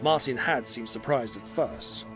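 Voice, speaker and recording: A man's voice: medium pitch, unhurried. Male audio drama narrator over music.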